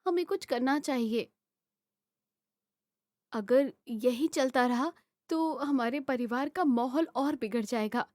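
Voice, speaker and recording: Concerned young sister, family cartoon peacemaker, played by a woman; high pitch, measured delivery. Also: dark tone, no room sound. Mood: worried. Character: worried young sister trying to make peace. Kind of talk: Hindi animated family drama acting.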